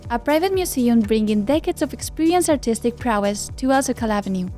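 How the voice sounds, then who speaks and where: A woman's voice: on the high side, animated, brisk, a little mellow, soft background music. A woman presenter narrating a scripted travel documentary. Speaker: presenter, architect and photographer.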